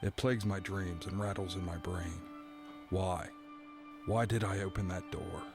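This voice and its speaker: A man's voice: sits low, steady tone, a little slow. An actor playing a dread-filled prisoner awaiting his doom, delivering a brooding first-person monologue in an audio drama. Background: background music.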